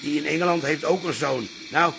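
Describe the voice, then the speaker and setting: A man's voice: on the high side, brisk, background noise. A man playing a bossy, indignant old pub patriarch with an Amsterdam accent in a radio play. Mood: scornful. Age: older. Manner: gruff, indignant, commanding.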